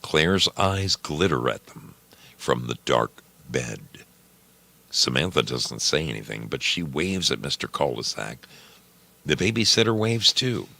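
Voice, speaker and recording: A very deep-voiced man tells a story in slow, deliberate phrases. His gravelly delivery is dramatic and suspenseful. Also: sing-song, bright, forceful tone. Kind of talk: dramatic scripted story narration.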